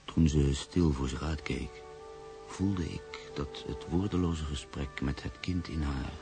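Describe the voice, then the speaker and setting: Very deep, gravelly, solemn man. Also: a little slow, over music, exaggerated voice. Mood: grave, tender. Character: Freek, reflective grave man, narrator-protagonist moved by loss. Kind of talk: literary radio drama monologue. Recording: faint background noise.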